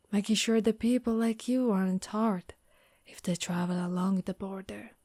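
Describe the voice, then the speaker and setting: A woman voicing a gentle, protective undead warrior woman in an ASMR monster roleplay, speaking softly and reassuringly close to the mic. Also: mid-range voice, no room sound, lightly acted. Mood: earnest, confiding. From Italy. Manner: soft, caring, reassuring.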